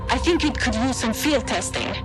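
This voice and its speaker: Woman playing a high-voiced confident weapons researcher. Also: expressive, moderate pace, over music, lightly acted. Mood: brisk, proud. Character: confident female weapons researcher and field ally. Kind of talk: video game radio dialogue.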